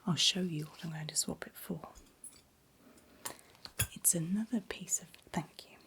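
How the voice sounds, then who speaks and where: A woman whispers very slowly and breathily, in a fairly low pitch. She plays a reassuring doctor in an ASMR roleplay, gentle and attentive to a nervous patient. There is faint noise behind her close voice. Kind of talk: soft-spoken ASMR medical exam roleplay.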